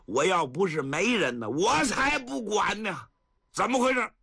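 Hot-tempered blustering old general, put on by a male storyteller. Loud and high, swinging wildly, sputtering. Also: animated, a little slow, vocal fry, slightly rough, no room sound, clean studio sound, full character voice. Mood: indignant. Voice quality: shouting, sputtering, high and strained.

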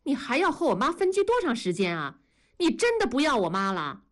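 A woman reading a story aloud, voicing an upset teenage girl who shouts accusingly at her father. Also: wildly swooping pitch, normal speed, exaggerated voice. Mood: angry, tearful. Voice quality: shrill, accusing.

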